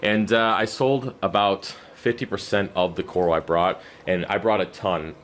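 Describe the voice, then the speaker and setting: A very low-voiced man, hoarse and running out of voice, rambles on with fillers and stops as he tends his messy fish room. A faint hum runs underneath, picked up by a camera mic that follows him around. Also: steady tone, normal speed, a bit bright. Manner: loose, rambling, many fillers and restarts. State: losing his voice, scratchy throat; exhausted. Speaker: aquarium channel host. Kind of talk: rambling unscripted update. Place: cluttered home fish room. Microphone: camera mic while walking around.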